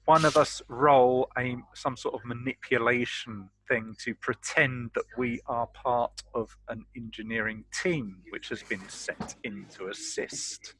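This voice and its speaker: A man's voice: mid-range voice, fairly even intonation, a little slow, few pauses, a bit bright, a little hiss or hum, low-quality recording. A man playing a tabletop game, talking casually with the other players.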